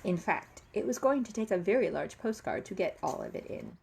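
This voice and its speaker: A woman narrating a children's story with expressive, dramatic emphasis. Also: medium pitch, fairly quick, creaky, slightly rough. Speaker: storybook reader. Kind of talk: animated children's story narration with character voices.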